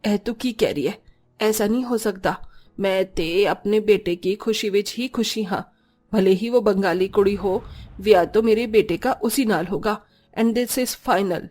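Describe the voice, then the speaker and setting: A woman's voice: medium pitch, measured pace, darkish tone, lightly acted. A woman voicing an older, bossy Punjabi mother-in-law with a commanding, no-nonsense manner. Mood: firm.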